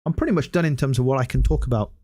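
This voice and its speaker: A man hosting a casual solo gaming podcast, hoarse after hours of talking and rambling with many hesitant restarts. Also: mid-range voice, very fast, mellow. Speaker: podcast host.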